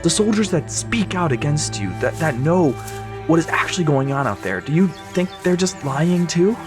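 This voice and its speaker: A man's voice: a little high, lively intonation, moderate pace, music in the background, natural voice. A man playing an idealistic, defiant teenage son with earnest delivery in an audio drama. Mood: challenging.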